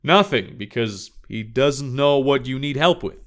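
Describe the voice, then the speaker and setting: A man playing a calm, unflappable male agent in an audiobook, asking questions in a measured, reserved way. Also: mid-range voice. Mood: calm, persuasive. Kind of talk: audiobook character dialogue.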